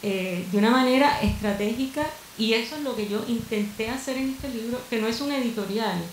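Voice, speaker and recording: A fairly high-voiced woman from Cuba speaks on a seminar panel, earnest and searching, with frequent fillers and restarts. The sound carries the echo of a large room, with a faint hiss underneath. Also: brisk. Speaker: panelist, director of an archive. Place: seminar hall. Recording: echoey hall with faint hiss.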